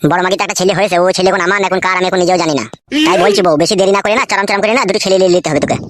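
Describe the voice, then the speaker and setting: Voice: mid-range voice, lively intonation, rapid-fire, no room sound, studio quality, lightly acted. A performer voicing a crude, boastful, bossy village husband in a comedy cartoon, with coarse bantering talk. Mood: bossy, teasing.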